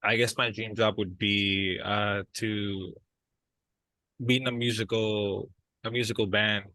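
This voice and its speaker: Young male therapy client, played by a man: hesitant, bright low voice, flat delivery. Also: no room sound. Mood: hesitant, earnest. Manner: halting, hesitant. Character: soft-spoken restless young man in couples-style therapy, aspiring drummer. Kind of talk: improvised therapy role-play.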